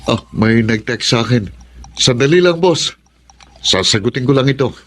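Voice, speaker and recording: An actor playing a concerned, supportive husband in a Filipino radio drama, speaking respectfully. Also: male voice, mid-range voice, animated, normal speed, a little hiss or hum, lightly acted.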